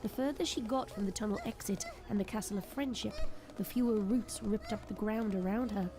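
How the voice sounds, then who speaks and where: A woman giving dramatic scripted narration for an audio drama, expressive and suspenseful. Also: mid-range voice, fairly quick. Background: prominent background music.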